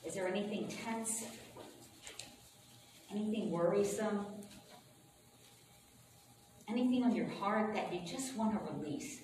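A woman pastor speaking informally to her congregation in a chatty, folksy, unhurried way, as she welcomes them and leads prayer. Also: mid-range voice, a little mellow. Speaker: pastor leading a church service. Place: large echoing room with a congregation. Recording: roomy, distant, noisy church service recording.

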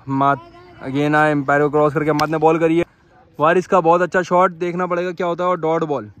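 A man's voice: a little high, quick pace. A young man from Pakistan giving live ball-by-ball commentary on a street cricket match, filmed on a phone outdoors. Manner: repetitive excited play-by-play, calls out to the umpire. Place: outdoor dirt cricket ground.

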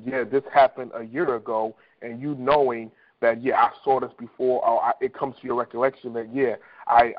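A man with a mid-pitched voice speaks as a team leader on a conference call. He coaches conversationally, often repeating himself, and the telephone line makes him sound dull and lo-fi. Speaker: insurance agent team leader.

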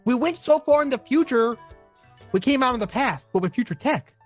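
A man's voice: extremely high pitch, animated, brisk. A man bantering over gameplay in a joking, theatrical way with friends. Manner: goofy, theatrical. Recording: game music behind the voice.

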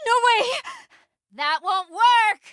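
A woman's voice: very high-pitched, expressive, slow, a bit bright, dry recording, clean studio sound, exaggerated voice. An actress voicing a tough, frightened young woman in a survival horror game, with an urgent, exclamatory manner. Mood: panicked defiance. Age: young adult. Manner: urgent, exclamatory, swearing under stress. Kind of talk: video game voice acting.

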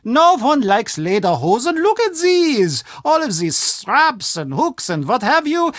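An actor playing a fussy, excitable castle official in a video game, theatrical and exclamatory. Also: male voice, very high-pitched, no room sound, clean studio sound, full character voice. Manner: theatrical, self-talking, exclamatory. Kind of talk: video game character acting.

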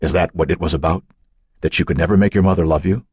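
A man reading the part of a calm male psychiatrist who prods with measured questions, husky voiced. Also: low-pitched, dry recording, studio quality. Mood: probing. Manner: measured, prodding, faintly mocking.

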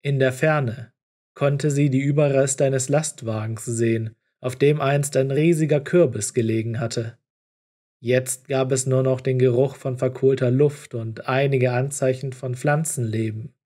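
A male narrator reads a scripted story. His voice is mid-pitched with a warm, mellow tone. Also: fairly even intonation, measured pace.

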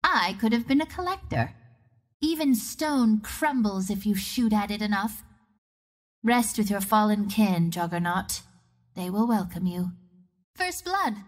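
A woman's voice: medium pitch, expressive, unhurried. A woman playing a confident, wry young female wind ranger in a video game, with a self-assured, teasing, theatrical delivery. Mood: cool, resolute, then cocky, teasing. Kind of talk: video game hero voice lines.

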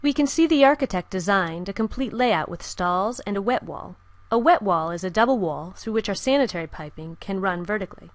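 Woman reading a software how-to, calm and even, steady scripted voiceover. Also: mid-range voice, fairly quick, few pauses, dry recording. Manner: calm, even, step-by-step instruction. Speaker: software instructor. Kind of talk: scripted tutorial narration. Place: voice-over with screen recording.

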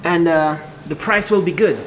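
Man, fairly high voice, casual tour interviewer, short questions, repeats answers, noisy open office, camera mic. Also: normal speed, a bit bright. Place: open-plan office, indoors. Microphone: handheld camera mic, voice from behind camera. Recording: noisy office ambience, handheld camera sound.

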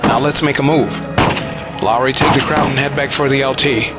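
An actor playing a steady, practical army sergeant in a wartime audio drama. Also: male voice, medium pitch, normal speed, lightly acted. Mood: commanding. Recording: music and battle effects behind.

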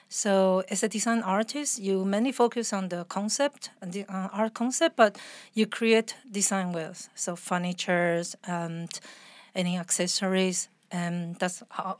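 A woman in her thirties speaks English with a Spanish-tinged accent, her pitch in a middle range. She talks in a chatty, casual way, often restarting her sentences. The recording is dry and close. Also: dry recording. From Taiwan, raised in Argentina and Canada. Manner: chatty, frequent laughs and restarts. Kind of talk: casual interview conversation.

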